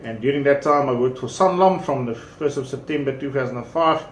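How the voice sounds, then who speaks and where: A man's voice: medium pitch, a little fast. A South African man in his fifties, talking casually with many fillers and restarts while presenting a service and his own work history. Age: 50s. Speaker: small business owner presenting a service. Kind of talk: casual promotional talk and self-introduction. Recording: echoey room with faint background noise.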